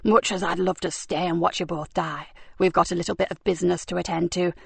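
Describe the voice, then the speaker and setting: A woman voicing a cold, menacing male crime boss, speaking slowly and with clipped threat. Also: on the low side, fairly even intonation, gravelly, husky, dry recording, exaggerated voice.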